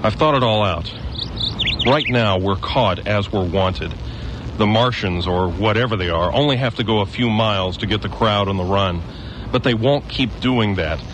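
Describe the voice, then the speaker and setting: A grim, scheming survivor, voiced by a man speaks low and blunt, lecturing on how to live under invaders. His tone stays bitter and matter-of-fact. The radio play recording carries a clear hiss underneath. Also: moderate pace, a bit bright, close to an everyday voice, rough recording. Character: hardened male survivor, former guardsman, grim and scheming. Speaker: stranger with a knife. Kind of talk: local radio drama.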